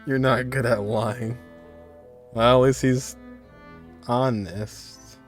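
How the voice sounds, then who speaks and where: A man's voice: medium pitch, fairly even intonation, takes it slowly. A man giving casual video game playthrough commentary, reacting in muttered asides and echoing what he hears. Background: game music.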